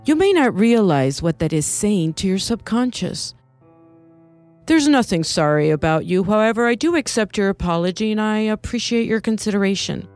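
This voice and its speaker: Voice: mid-range voice, faint music behind, lightly acted. A woman doing a serene neighbor with a mild accent. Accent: mild unplaced foreign accent. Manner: calm, unhurried, gentle.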